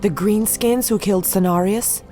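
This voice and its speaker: A woman's voice: mid-range voice, moderate pace, warm tone, soft background music, close to an everyday voice. A woman playing a deferential, earnest night elf sentinel in a fantasy game cutscene. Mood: concerned. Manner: earnest, respectful.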